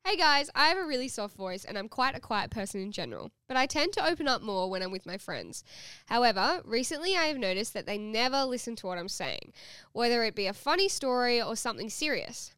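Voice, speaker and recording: A woman's voice: fairly high pitch, expressive, fairly quick, a bit bright, smooth, no room sound. A young woman hosting a podcast, chatting playfully and reading out a listener's letter. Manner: bubbly, giggly, teasing. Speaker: podcast host.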